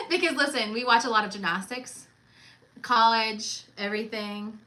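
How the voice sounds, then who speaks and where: Bright, chatty woman in her thirties. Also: on the high side, lively intonation, a little slow. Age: 30s. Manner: chatty, teasing, laughs often. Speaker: co-host of a cruise livestream. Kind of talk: casual livestream chat.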